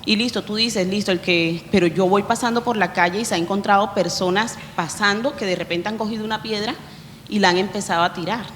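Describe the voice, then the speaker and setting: A woman's voice: mid-range voice, brisk, fairly bright tone. A woman with a Colombian accent putting a spontaneous question as an audience member at a public talk. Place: lecture hall. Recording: echoey hall, faint background noise.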